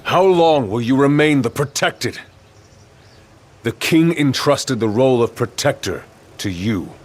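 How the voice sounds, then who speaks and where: An actor playing a stern, dutiful middle-aged royal marshal with solemn, formal delivery in a game cutscene. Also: male voice, mid-range voice, expressive, measured pace. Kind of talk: video game cutscene acting.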